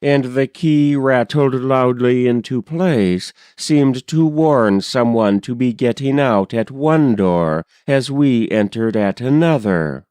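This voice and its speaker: A man narrates a poem at a very unhurried pace, phrasing it with care. His voice is smooth and clear at a medium pitch, recorded dry with no room sound. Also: a little mellow, no room sound. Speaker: poetry reader. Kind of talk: measured literary poem narration.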